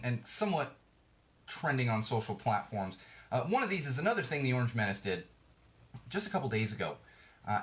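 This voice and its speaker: A man's voice: fairly high pitch, fairly bright tone. A man hosting a podcast, ranting bluntly about current events, his voice slightly worn as he loses it. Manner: blunt, ranting, frequent restarts. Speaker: podcast host. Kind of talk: ranting political commentary.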